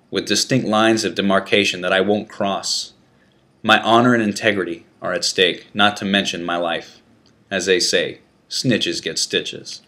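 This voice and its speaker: A man with a low-pitched voice reads a first-person prison memoir aloud. His delivery is deliberate and dramatic, like a narrator working from a script. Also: fairly bright tone. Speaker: narrator reading an article.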